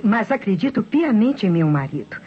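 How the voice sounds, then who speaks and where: An actress playing a genteel, defensive wife, formal and hesitant, in old radio drama style. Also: female voice, mid-range voice, big pitch swings, moderate pace, close to an everyday voice. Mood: defensive, pleading. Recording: muffled, faintly noisy old radio recording.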